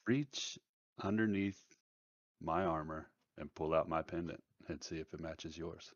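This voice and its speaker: A man playing a tabletop game, talking casually in character, blunt and profane with halting phrasing. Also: a bass voice, no echo, highs cut off.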